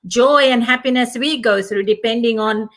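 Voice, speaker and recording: Guest voice: middle-aged woman from Fiji, fairly high pitch, thoughtful and halting with many fillers. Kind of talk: casual conversation.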